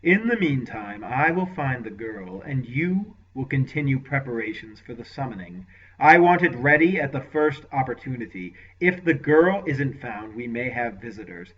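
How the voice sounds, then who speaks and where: A man's voice: mid-range voice, very animated, normal speed, slightly rough, pitched above the performer's own voice. A man voicing an imperious villainous lord. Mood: imperious scheming. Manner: commanding, contemptuous. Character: ruthless scheming male warlord lord, venomous and imperious. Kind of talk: audiobook character voice. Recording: lo-fi dull recording.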